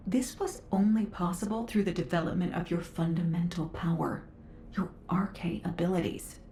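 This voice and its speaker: An actress playing a self-assured, brisk woman scientist who lectures in a precise, measured way in a video game. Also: mid-range voice, a little mellow, roomy sound, a little hiss or hum. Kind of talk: scripted video game voice acting.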